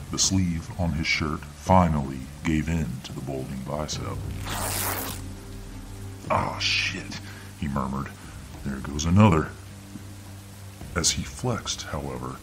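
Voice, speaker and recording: A man narrating a scripted horror story in ASMR style, with an ominous, drawn-out delivery. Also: extremely low pitch, rough recording. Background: background music.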